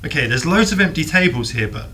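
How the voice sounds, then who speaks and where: A man's voice: fairly high pitch, fast talker, soft background music. A man from the south of England with a Southern English accent, telling stories in a casual panel chat. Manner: animated storytelling, rambling asides. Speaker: guest, videographer.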